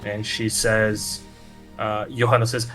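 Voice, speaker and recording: Brazilian man, Portuguese native, casual GM narrator, halting, fillers. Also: on the low side, monotone, fairly bright tone, soft background music, rough recording. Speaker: game master. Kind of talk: narrating a tabletop game.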